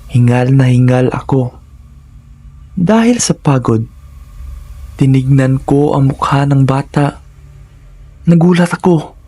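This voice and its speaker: Guy reading scary stories, husky creaky voice, dramatic and suspenseful, real dry sound. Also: on the high side, darkish tone, no room sound. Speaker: narrator of horror stories. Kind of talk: scripted first-person horror storytelling.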